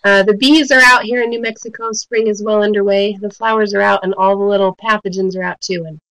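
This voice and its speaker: A woman's voice: mid-range voice, steady tone, fairly quick, a bit bright, dry recording, low-quality recording. A woman biologist giving a friendly, informal webinar lecture, her voice a little off from a cold she is getting over. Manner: friendly, informal explaining with frequent ums. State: recovering from a cold. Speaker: guest speaker, bee biologist. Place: home in New Mexico.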